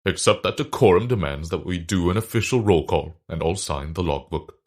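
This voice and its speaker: An actor playing a pompous, self-important eccentric scientist in a comedic audio drama, declaiming grandly in a husky voice. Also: male voice, low-pitched, animated, fairly quick. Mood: officious.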